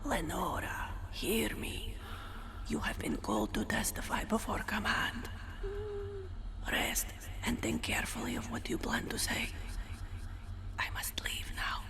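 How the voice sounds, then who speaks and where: A woman's voice: medium pitch, sing-song, whispered. A woman playing a stern older healer in an audio drama, commanding, terse and protective. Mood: hushed, grave. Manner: commanding, terse, measured instruction.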